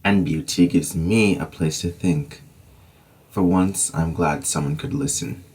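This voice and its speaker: A weary medieval lord mourning his lost family, voiced by an actor. He speaks slowly and formally in a deep, husky voice. It is an amateur audio drama. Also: darkish tone, full character voice. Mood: somber. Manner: solemn, formal old-fashioned speech. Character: grieving Scottish nobleman Macduff, weary.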